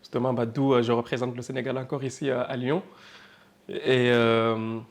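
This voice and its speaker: A man's voice: mid-range voice, flat delivery, normal speed, gravelly. A man in his twenties from Senegal who runs a food business, talking casually and chattily in a documentary interview with plenty of fillers. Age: 20s. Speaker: interviewee, cook and business owner.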